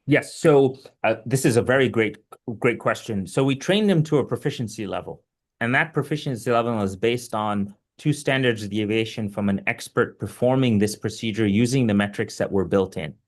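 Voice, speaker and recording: A man's voice: on the low side, normal speed. Guy from egypt, surgeon on a webinar answering questions, earnest and emphatic, explains w examples. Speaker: surgeon and invited webinar speaker.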